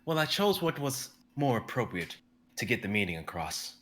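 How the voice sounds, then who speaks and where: A man voicing Akalos, a commanding warrior who speaks in a formal, measured way. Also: mid-range voice, big pitch swings, fairly bright tone, a little hiss or hum. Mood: stern.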